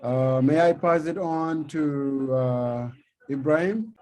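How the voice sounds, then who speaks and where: A man from Sudan, introducing himself in a measured way with hesitant fillers on a video call. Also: fairly high pitch, darkish tone. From South Sudan. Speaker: social entrepreneur.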